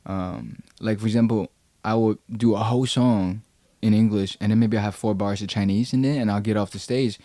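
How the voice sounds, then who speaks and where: A young man with a low, mellow voice talks in a relaxed, slangy way. He is recorded dry and close in a studio, with no room sound. He sounds like a rapper chatting easily as a podcast guest. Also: brisk, dry recording. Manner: laid-back, slangy, quotes people in raised voices. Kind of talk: casual podcast conversation.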